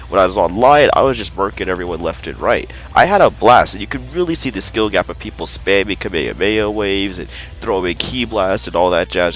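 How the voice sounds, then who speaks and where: A man's voice: fairly low pitch, poor audio. Nasal young man reviewing a game. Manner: energetic, slangy gamer talk. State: nasal from a cold. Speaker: gaming YouTuber. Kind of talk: casual video game review.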